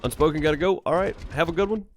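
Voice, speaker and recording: A man's voice: fairly high pitch, racing, slight background noise. A man from Mississippi with a Mississippi accent, chatting casually and teasingly with live viewers as a streamer, laughing often. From Mississippi, United States.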